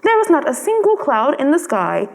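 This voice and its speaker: A woman's voice: high-pitched, huge pitch swings, moderate pace, vocal fry, big-room sound, heavily acted. A woman voicing a young male swallow in a storybook, fussy, romantic and self-important, with precise, exclamatory phrasing. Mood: put out.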